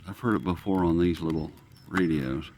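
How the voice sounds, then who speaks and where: A man's voice: low-pitched, moderate pace, a little mellow, slight background noise. A man hosting a hobby channel, giving a casual, unscripted demonstration in a folksy, rambling way as he muses aloud. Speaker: radio hobbyist channel host. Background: shortwave radio being tuned.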